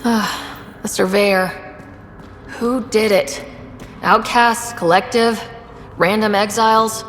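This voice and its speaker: A woman's voice: mid-range voice, steady tone, slow pace, a bit bright, big-room sound, faint music behind. A woman playing a determined young explorer leading a sci-fi expedition in a video game. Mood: inquisitive, businesslike.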